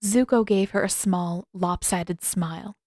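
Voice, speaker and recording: A woman's voice: mid-range voice, steady tone, unhurried, a little mellow, no room sound, clean studio sound. A woman reading scripted fiction as an audiobook narrator.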